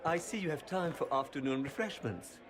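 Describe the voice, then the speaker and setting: A man's voice: fairly high pitch, lively intonation, fairly quick, a crowd in the background, lightly acted. An actor voicing a haughty, fussy male noble courtier in a video game with an arch, theatrical manner.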